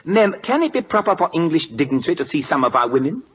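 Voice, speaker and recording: A man's voice: fairly high pitch, sing-song, fast talker, a bit bright, slightly rough, full character voice. An actor playing a proud, impatient king of Siam in a 1940s radio drama, with a put-on Siamese accent and clipped, formal broken English. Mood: indignant, commanding. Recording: dull lo-fi old radio recording.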